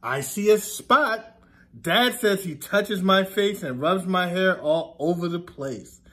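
Slow, high-voiced man reading to children. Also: animated, a bit bright. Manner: sing-song, deliberate, stressing repeated words. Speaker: teacher reading aloud to children. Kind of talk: children's picture book read-aloud. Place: classroom, indoors.

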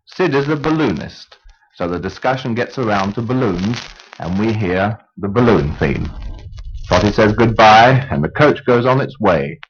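A man giving a scripted, formal and measured explanatory narration in 1950s radio style. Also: lowish voice, slight background noise. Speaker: narrator of a radio programme on film music. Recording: dull 1950 radio acetate disc recording. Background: orchestral film music.

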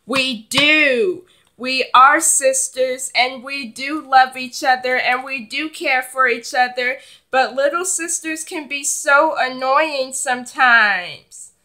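Woman acting selfish older sister, young girl, bossy, dismissive, forceful bright tone, high-pitched, doll play skit. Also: slow, exaggerated voice, poor audio. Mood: exasperated, firm.

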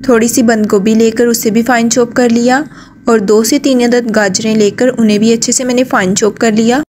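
Woman narrating a recipe, slightly high voice. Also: normal speed, slight background noise. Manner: steady step-by-step instructions to the viewer. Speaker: cooking channel host.

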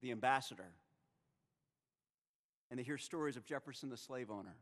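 A man in his thirties preaching a sermon at a chapel lectern microphone, measured and rhetorical with dramatic pauses. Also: mid-range voice, a bit bright. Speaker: seminary president preaching in chapel. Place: chapel with stone wall, at a wooden lectern. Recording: long reverb of a chapel hall.

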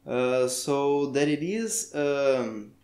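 A man from Brazil with a Brazilian Portuguese accent, a native Portuguese speaker, talking through a software tutorial in halting English and searching for words. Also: medium pitch, roomy sound. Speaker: tech tutorial maker. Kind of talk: screen-recorded software tutorial.

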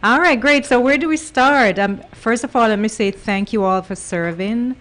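A woman's voice: a little high, normal speed, a bit bright, slight background noise. A woman with a Jamaican accent hosting a radio talk show, chatting and affirming her guests often. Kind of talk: casual chat.

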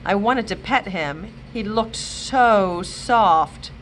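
A woman librarian reading a children's chapter book aloud with expressive, animated storytelling. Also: medium pitch, slow, a little hiss or hum, low-quality recording. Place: library, indoors.

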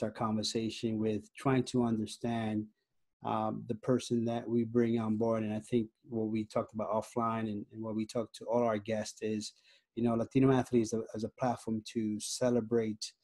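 A man hosts an interview podcast with a measured, polite delivery. His tone is dark and relaxed, his intonation fairly level, and the sound is dry with little room on it. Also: fairly low pitch, no echo. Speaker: podcast host. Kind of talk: interview introduction and questions. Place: indoor room, on a video call.

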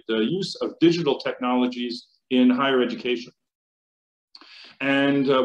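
A man's voice: medium pitch, muffled recording. Hesitant American man on a video call. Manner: hesitant, many fillers and restarts. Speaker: panelist, chemical engineering professor. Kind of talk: conference panel remarks. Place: home office. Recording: roomy video call audio.

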